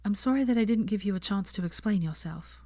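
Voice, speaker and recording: A woman's voice: medium pitch, animated, fast talker, darkish tone. A woman reading the part of an earnest, prim young woman who speaks articulately. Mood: apologetic.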